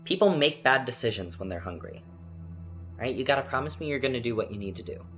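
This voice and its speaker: A woman's voice: a bass voice, expressive, quick pace, music in the background. A woman playing a blunt, teasing, protective confidante in an audio drama. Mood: insistent.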